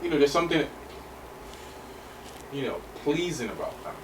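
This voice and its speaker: A man with a Nigerian accent trading playful banter and exclamations with his wife. He sits back from the microphone, so he sounds a little distant, with steady room noise behind him. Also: on the high side, normal speed. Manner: bantering, exclamatory, laughs a lot. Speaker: vlogger's husband, guest. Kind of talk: casual chat.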